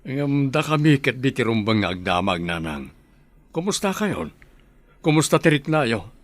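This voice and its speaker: A man's voice: medium pitch, sing-song, moderate pace, dry recording, lightly acted. Guy acting kind ilocano dad in radio drama, medium voice, sincere and reassuring. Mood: caring. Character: kindly family man, devoted son and father.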